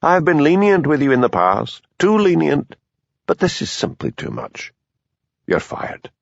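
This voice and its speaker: A man voicing a cold, stern police superintendent who speaks quietly and frostily, in a dull lo-fi recording. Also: medium pitch, normal speed, no room sound, lightly acted. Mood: quietly furious.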